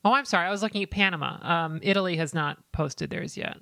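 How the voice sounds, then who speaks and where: Man, gravelly voice, rambling casual co-host, dry close mic. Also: high-pitched, quick pace, bright, forceful tone, no room sound. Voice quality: gravelly, filler-heavy and rambling. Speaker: podcast co-host. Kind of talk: casual podcast chat.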